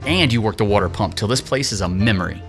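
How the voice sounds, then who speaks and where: A man's voice: on the low side, animated, fast talker, over music. A man playing a cocky, whiny, entitled young rich son in an audio drama, sarcastic and theatrical. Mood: cocky wheedling. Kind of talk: scripted audio drama acting.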